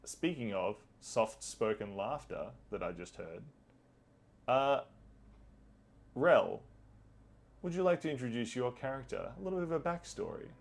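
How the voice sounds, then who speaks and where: A man's voice: lively intonation, a little slow, pauses often. A man hosting a tabletop game as game master, chatting casually with a playfully theatrical manner. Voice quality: deep, gravelly.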